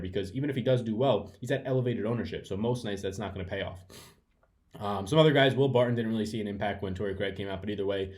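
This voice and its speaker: A man's voice: fairly low pitch, darkish tone. A man giving a casual webcam breakdown of fantasy basketball picks, rambling and correcting himself, sniffling from allergies. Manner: rambling, self-correcting, chatty. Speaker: fantasy sports analyst. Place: room at home. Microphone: webcam setup.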